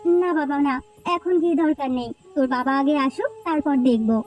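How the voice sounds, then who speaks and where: Woman as a very high-pitched, plaintive village mother. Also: normal speed, darkish tone, slight background noise, natural voice. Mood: soothing. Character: worried, gentle village wife and mother. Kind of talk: Bengali cartoon story acting.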